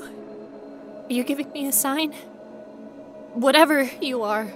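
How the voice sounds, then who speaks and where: Woman acting a nervous, wry ghost researcher, music behind. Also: a little high. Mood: pleading, wary. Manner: rambling nervous self-talk, sardonic, often dropping to whispers. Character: frightened, wry young female ghost researcher, alone in danger. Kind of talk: audio horror monologue performance.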